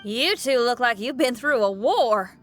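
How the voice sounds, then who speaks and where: A woman's voice: fairly high pitch, expressive, measured pace, fairly bright tone, faint music behind. A woman voicing a friendly young female adventurer in an anime game, conversational and matter-of-fact. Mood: concerned, curious.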